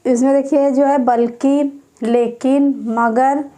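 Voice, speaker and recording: A woman teaching an exam-prep grammar class, repeating each word again and again as if for dictation. Her voice sits high but has a soft, mellow tone, and she takes her time over every phrase. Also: level tone. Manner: slow, repetitive dictation, repeating each term several times.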